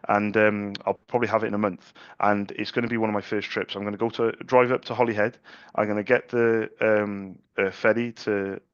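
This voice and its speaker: A man's voice: on the low side, fairly bright tone, no room sound. Chatty salesman on a phone call. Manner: chatty, repeats words, restarts sentences.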